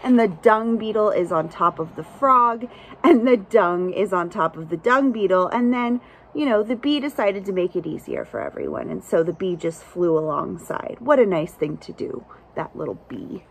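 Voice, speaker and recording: A young adult woman reading a picture book aloud to children outdoors, with expressive, chatty asides and simple questions for young listeners. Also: medium pitch, moderate pace, creaky, a little hiss or hum. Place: outdoors under a shelter among pine trees.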